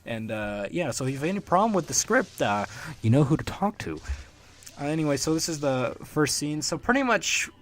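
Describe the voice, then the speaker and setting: A man giving a casual, unscripted solo commentary as a creator, in a rambling, halting way and often chuckling. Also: on the high side, very animated. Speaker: fan dub creator, commentator.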